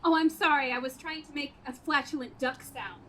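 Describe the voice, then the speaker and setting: A woman's voice: sits high, fairly quick, a bit bright. A woman playing a sarcastic, combative radio sound-effects artist, snappy, in community stage acting. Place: outdoor stage in a parking lot. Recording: slightly noisy outdoor recording.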